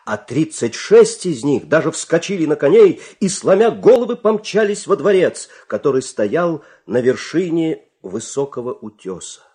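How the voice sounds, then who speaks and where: Expressive male fairy-tale narrator. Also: fairly high pitch, normal speed, few pauses, breathy edge. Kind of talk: scripted fairy-tale narration, dramatic storytelling. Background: music.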